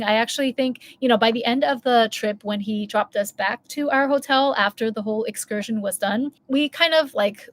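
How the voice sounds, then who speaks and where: A woman's voice: on the high side, fairly bright tone. Chatty woman telling a story. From United States. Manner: chatty, candid, rambling with many asides and self-corrections. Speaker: vlogger. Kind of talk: casual storytime vlog.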